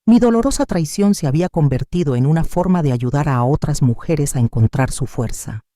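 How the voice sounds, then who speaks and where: Very dark-toned, dry-sounding Spanish-language narrator. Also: mid-range voice, expressive, fairly quick, no room sound. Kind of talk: scripted first-person story narration.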